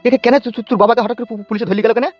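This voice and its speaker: A man's voice: extremely high pitch, flat delivery, rapid-fire, dull audio, faint music behind. A man voicing a poor, weary, indignant village father in a comic cartoon, exclaiming and lamenting. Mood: incredulous. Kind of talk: comic cartoon dialogue in rural dialect.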